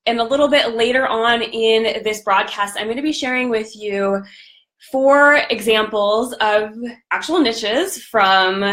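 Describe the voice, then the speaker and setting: A woman with a slightly raspy voice from a recent cold, hosting a live coaching stream in an upbeat, chatty way. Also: fairly high pitch, sharp tone. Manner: upbeat, chatty, greets viewers by name. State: recovering from a cold. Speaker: podcast host and business coach.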